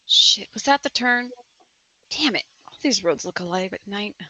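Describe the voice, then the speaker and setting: A woman's voice: mid-range voice, lively intonation, normal speed, bright, forceful tone, no echo, poor audio. A woman playing an uneasy, foul-mouthed young woman driver in an amateur horror table read. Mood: annoyed.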